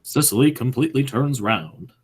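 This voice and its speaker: A man's voice: medium pitch, animated, moderate pace, breathy edge. A man narrating a radio play, reading short stage directions as scripted narration.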